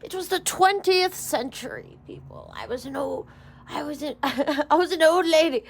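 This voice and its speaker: A woman's voice: sits high, normal speed, creaky, a little hiss or hum. A woman in her thirties chatting casually to her viewers as a tarot reader, rambling, swearing freely and breaking into giggles. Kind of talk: casual rambling vlog chat.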